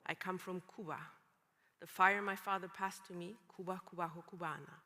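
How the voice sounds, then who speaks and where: Woman speaker from Rwanda, slow poetic testimony, large hall with long reverb. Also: fairly low pitch, steady tone, sharp tone. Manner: slow, measured, poetic delivery. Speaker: symposium speaker. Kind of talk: spoken-word personal testimony.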